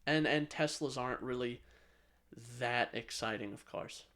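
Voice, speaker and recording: Man, medium pitch, podcast co-host, casual opinionated chat, blunt, sweary. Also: a little slow, fairly bright tone.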